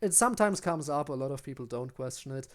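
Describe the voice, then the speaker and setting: A man's voice: medium pitch, lively intonation, quick pace. Rambling German-accented male game streamer. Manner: rambling, with frequent restarts while answering chat. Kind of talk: casual live-stream chat while gaming.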